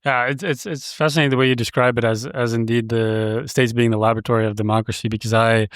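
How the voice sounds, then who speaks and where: A man from Belgium hosting a podcast, asking questions in a measured, hesitant way and often restarting his sentences. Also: mid-range voice, level tone, no room sound, clean studio sound. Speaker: podcast host. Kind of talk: interview questions.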